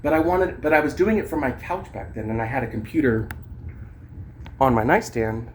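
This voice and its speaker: A man with an average-pitched voice rambles casually to camera like a home vlogger. His talk is slangy and loose, peppered with swearing. Faint noise sits behind him in a homemade recording. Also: expressive, normal speed. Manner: informal, swearing, slangy, talking as they demonstrate gear. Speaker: vlogger and amateur songwriter.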